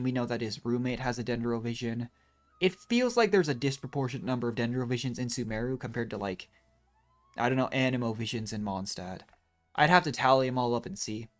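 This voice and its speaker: A game streamer giving casual live commentary in a rambling, musing way, drifting into tangents. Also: medium pitch.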